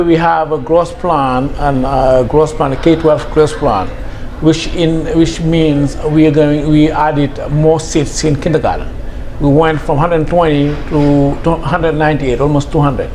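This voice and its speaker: Middle-aged man from Senegal, school head, measured interview answers, slightly high voice, faint hiss behind. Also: steady tone, a little mellow. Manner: measured, thoughtful, with frequent hesitations.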